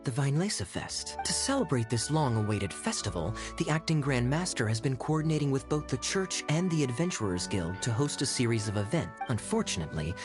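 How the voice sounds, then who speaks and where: An actor playing a suave young cavalry captain in a video game, with a smooth, playful, theatrically sly delivery. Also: male voice, medium pitch, very animated, brisk, few pauses, music in the background, close to an everyday voice. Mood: teasing. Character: suave, teasing, silver-tongued young cavalry captain, male. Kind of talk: video game character dialogue.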